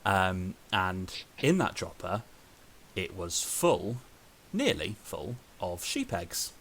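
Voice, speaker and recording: Welsh podcast host, low voice, slight hiss, loose rambling delivery. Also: male voice, fairly bright tone. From Wales. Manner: rambling storyteller, frequent ums. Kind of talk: casual podcast chat.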